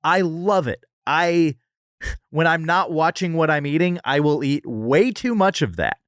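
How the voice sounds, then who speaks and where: A man's voice: a little high, expressive, measured pace, a bit bright, smooth, no room sound, clean studio sound. An American man chatting casually as a podcast co-host, giving blunt, opinionated review commentary with exaggerated comparisons. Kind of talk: casual conversational review chat.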